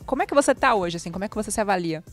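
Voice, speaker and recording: A young Brazilian woman working as a reporter, asking quick, bubbly questions into a handheld mic in front of a press backdrop. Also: medium pitch, animated, faint music behind. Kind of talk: interviewing.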